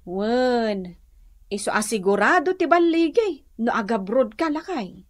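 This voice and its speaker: A woman's voice: on the high side, big pitch swings, unhurried. A woman playing a practical, gossipy, opinionated village cousin in a radio comedy drama, emphatic, with a Northern Luzon Filipino accent.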